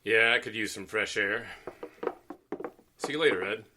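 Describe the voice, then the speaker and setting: A man's voice: on the low side, normal speed, natural voice. An actor playing a practical, skeptical man in a radio detective play, reasoning with mild exasperation. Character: skeptical, practical male companion of a lady lawyer. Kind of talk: old-time radio detective play acting. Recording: very noisy, car ambience behind dialogue.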